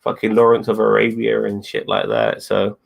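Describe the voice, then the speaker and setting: A man with a fairly low pitch and plenty of fry in his voice talks casually as a co-host. He rambles, repeats his phrases and drops swear words as he goes. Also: very animated, normal speed. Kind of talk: casual wrestling review chat.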